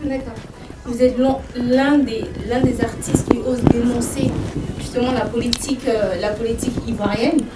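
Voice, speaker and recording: A woman's voice: on the high side, fairly even intonation, moderate pace, heavy background noise. A woman hosting a TV show, speaking in a poised, formal way as she introduces her guest and asks him questions. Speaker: TV show host. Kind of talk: formal TV interviewing. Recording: echoey room, distant mic, music behind.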